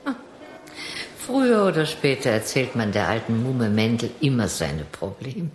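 A woman's voice: a bass voice, very animated, normal speed, music in the background. An actress playing a motherly older local confidante in a radio drama. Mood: knowing. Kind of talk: German radio drama acting. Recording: roomy, slight noise.

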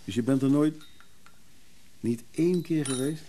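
A man's voice: medium pitch, unhurried, dark tone, close to an everyday voice. An actor playing a bitter, needy, down-on-his-luck brother in a radio drama, reproachful and pleading. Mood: needy, sullen.

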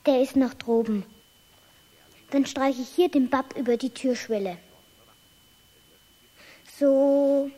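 A woman's voice: sits high, steady tone, slow pace, pauses often, mellow. A woman playing an affectionate, stubborn little girl with a pleading childlike manner in a children's radio play. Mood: hushed, coaxing.

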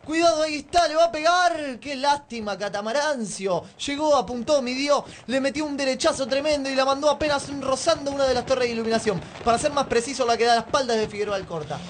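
A man playing an excitable, florid Argentine football commentator in a radio drama, with grandiloquent delivery. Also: extremely high pitch, fast talker, hardly any pauses, a little hiss or hum. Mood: excited, urgent. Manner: grandiloquent, theatrical.

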